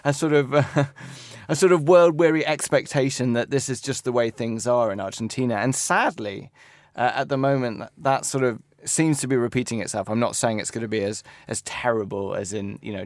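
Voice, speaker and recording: Guy correspondent in a studio, thoughtful, lots of "sort of", mid pitch, dry sound. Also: dry recording. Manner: reflective, hedging with "sort of". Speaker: Americas editor at large, correspondent. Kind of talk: radio studio discussion. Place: radio studio.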